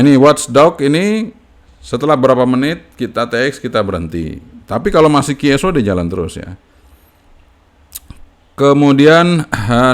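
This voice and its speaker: A man giving a casual, unscripted step-by-step tutorial as a hobbyist, in an informal manner with frequent checking tags. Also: mid-range voice.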